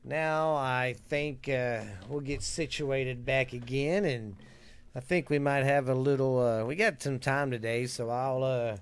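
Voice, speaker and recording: A man's voice: medium pitch, steady tone, measured pace, clear, resonant tone. A man with a drawl co-hosting a casual podcast, talking loosely and joking crudely. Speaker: podcast co-host.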